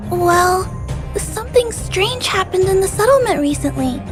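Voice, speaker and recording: A woman voicing a sweet, polite, timid little girl in an anime-style video game, with a gentle, childlike manner. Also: extremely high pitch, lively intonation, unhurried, music in the background. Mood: worried, polite.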